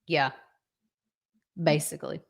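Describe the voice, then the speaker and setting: An American woman hosting a relationship talk show, chatting to viewers and giving advice in an earnest, emphatic, deliberate way with pauses. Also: low-pitched, fairly even intonation, vocal fry. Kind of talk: live chat to viewers, reading comments, giving advice.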